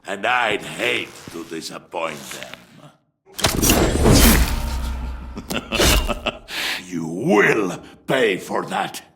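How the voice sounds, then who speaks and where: An actor playing a hulking mercenary villain in a video game, boastful and theatrical, with a gravelly growl and taunting delivery. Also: male voice, low voice, sing-song, drawn-out words, very noisy, heavily acted. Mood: gloating threat. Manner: taunting, grandiose. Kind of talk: dramatic game voice acting.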